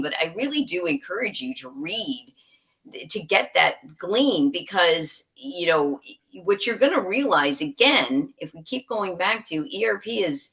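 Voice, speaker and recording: Female interview guest, low voice, loose and chatty. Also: a bit bright. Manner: chatty, laughing, rambling with asides. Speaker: guest, software company executive. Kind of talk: conversational interview.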